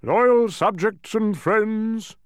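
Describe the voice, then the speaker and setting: A man's voice: sits high, drawn-out words, clear, resonant tone, dry recording, clean studio sound, voice pushed up. A man voicing a blustering, melancholy king in a storybook, with a regal, grandiose manner of proclaiming. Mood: commanding, indignant.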